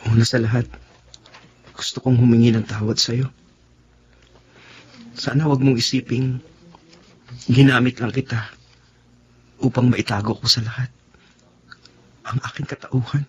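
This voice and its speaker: A man's voice: medium pitch, fairly even intonation, a little slow, long pauses, husky. A man playing a guilt-ridden, apologetic Filipino young man in a radio drama, earnest and hesitant. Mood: remorseful. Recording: dull lo-fi radio sound with music.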